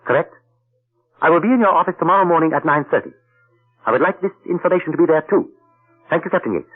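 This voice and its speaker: A man's voice: a little high, expressive, quick pace, faint music behind. A man playing a suave, polite male intelligence agent who narrates and speaks formally in proverbs, in an old radio play. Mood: brisk. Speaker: narrator and lead character. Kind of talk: 1940s radio acting. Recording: tinny old radio broadcast.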